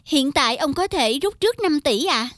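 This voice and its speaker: High-voiced officious bank teller. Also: female voice, animated, normal speed, fairly bright tone, dry recording, clean studio sound. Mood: apologetic, deferential. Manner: formal, clipped customer-service politeness. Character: officious young female bank teller, dismissive then deferential. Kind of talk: cartoon dubbing. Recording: music under the voice.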